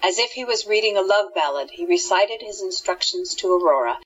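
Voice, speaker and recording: Female audio-drama narrator: breathy, on the low side, scripted storytelling, muffled recording. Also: lively intonation, a little fast, fairly bright tone.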